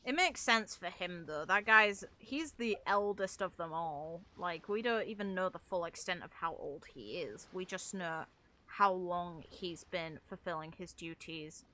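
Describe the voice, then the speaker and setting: A woman's voice: lowish voice, pushed and bright, a little hiss or hum. A woman giving casual commentary on game lore, thinking aloud in a rambling, musing way. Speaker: gaming video creator and streamer. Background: music.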